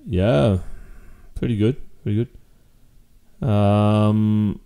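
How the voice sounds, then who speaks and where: Man acting out jealous young boyfriend, Australian accent, deepish pitch, very mellow dark tone, hesitant conversational delivery, fed-up, intimate roleplay audio. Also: drawn-out words, smooth. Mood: tense, hesitant.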